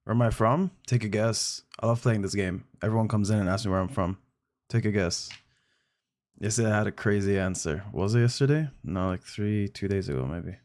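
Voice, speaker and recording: Chatty male streamer, lowish voice. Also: a little fast, darkish tone. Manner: friendly, chatty, addresses viewers directly. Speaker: game streamer. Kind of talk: casual live-stream chat.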